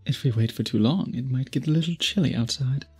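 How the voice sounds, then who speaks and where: A man playing a centuries-old vampire suitor in an intimate ASMR audio drama, speaking in formal, archaic, deliberate phrases with a breathy, husky voice. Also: mid-range voice, faint music behind. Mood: reticent, polite. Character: centuries-old male vampire suitor, possessive, courtly, brooding.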